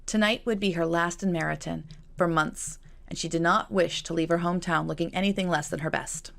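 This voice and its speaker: A woman's voice: lowish voice, fairly even intonation, fairly quick, vocal fry, fairly bright tone. A woman reading her own novel as scripted audiobook narration.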